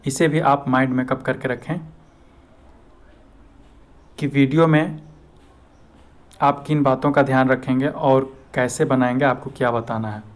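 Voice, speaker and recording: A man's voice: medium pitch, steady tone, measured pace, pauses often. Indian man explaining step by step. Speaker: video host giving audition tips. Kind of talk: informal instructional explainer.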